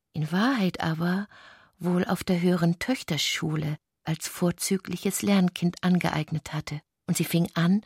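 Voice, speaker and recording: A woman narrating literary prose for a radio play in a breathy voice. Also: lowish voice, a little mellow, no echo, studio quality.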